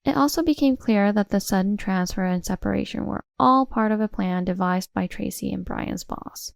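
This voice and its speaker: A woman's voice: medium pitch, moderate pace, gravelly, dry recording, studio quality. A woman reading a scripted first-person family drama as its narrator. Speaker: story narrator.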